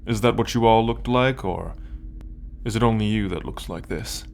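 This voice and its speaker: A man's voice: fairly low pitch, creaky, darkish tone, slight background noise. A man playing an arrogant, possessive alien military conqueror in an ASMR roleplay, commanding and condescending as he addresses the listener. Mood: curious, smug. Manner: commanding, condescending, slow deliberate delivery.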